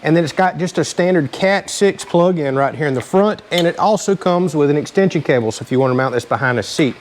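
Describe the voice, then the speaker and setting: A man's voice: a little high, a little fast, a little hiss or hum. A man hosting a casual hands-on DIY tutorial, talking in a plain-spoken, explanatory way. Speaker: host of a DIY vehicle channel.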